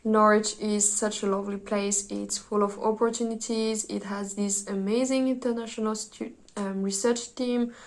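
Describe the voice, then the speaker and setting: A young woman from France with a French accent, a psychology PhD student speaking enthusiastically in a prepared talk to camera, with small grammar slips. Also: medium pitch.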